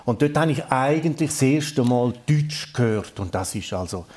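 A man's voice: mid-range voice, animated, normal speed, a little mellow. A man in his sixties from Graubünden, a native Romansh speaker who talks Swiss German with a Romansh tinge, speaking as a guest in a thoughtful, hesitant way. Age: 60s.

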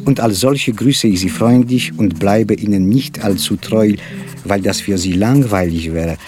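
A man's voice: lowish voice, measured pace, close to an everyday voice. Guy acting quirky poet, formal and wry, takes his time, guitar music under it. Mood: wry courtesy. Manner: formal, mannered, wry courtesy. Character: whimsical, self-deprecating male poet, courteous and playful. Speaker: stage actor reciting literary texts. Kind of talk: theatrical literary recitation with music.

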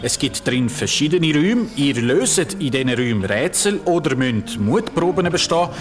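A man's voice: a little high, big pitch swings, moderate pace. An actor playing a welcoming, salesman-like escape room operator who explains things in an audio drama. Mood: explaining. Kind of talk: German-language audio drama acting.

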